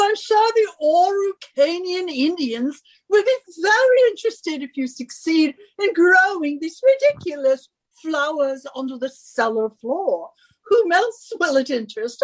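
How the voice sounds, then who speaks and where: A woman's voice: high-pitched, lively intonation, measured pace, low-quality recording. A woman playing a bossy, scornful wife who nags and scolds in a suspense radio play. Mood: indignant, scornful. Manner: imperious, scolding, henpecking. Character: bossy, nagging British wife of a professor, scornful.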